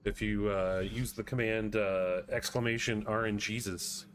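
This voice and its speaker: A man's voice: fairly low pitch, steady tone, a little slow, fairly bright tone. A man chatting casually and in a rambling, easygoing way while live streaming a video game. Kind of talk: casual chat while gaming. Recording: clear background noise and game ambience.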